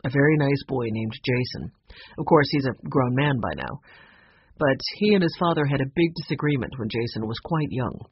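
A storybook narrator voicing a kindly, calm, businesslike older man who is a grandfather. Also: mid-range voice, moderate pace, husky, dull audio.

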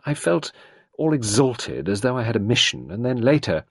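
A man's voice: medium pitch, sing-song, normal speed, vocal fry, husky, dry recording, clean studio sound, pitched above the performer's own voice, exaggerated voice. A man voicing an anxious, earnest young woman with a raised, pleading voice. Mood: embarrassed.

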